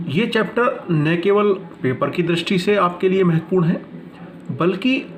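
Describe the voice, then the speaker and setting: A man teaching in a deliberate, didactic way, narrating a prepared lesson and explaining it with emphasis. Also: sits high, a little hiss or hum.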